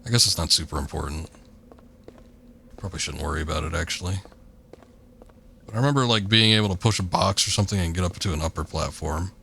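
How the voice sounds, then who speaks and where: A man with a gravelly voice giving rambling, joking commentary while streaming a game. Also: low-pitched, fast talker, pauses often, bright, forceful tone, slight background noise. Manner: rambling, laid-back, joking. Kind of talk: casual gameplay commentary.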